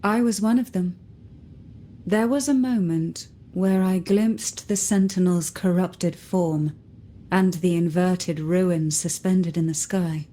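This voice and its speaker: A woman playing an enigmatic, composed noblewoman in a video game, speaking softly in a deliberate, formal, poised manner. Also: lowish voice. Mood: grave, mysterious.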